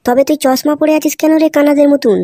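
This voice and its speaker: A man as a high-voiced, grumpy cartoon shopkeeper. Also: fairly even intonation, quick pace, noisy background. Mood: suspicious. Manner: scolding, wailing, slangy. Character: grumpy, excitable male tea-stall owner. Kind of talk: comedy cartoon dialogue.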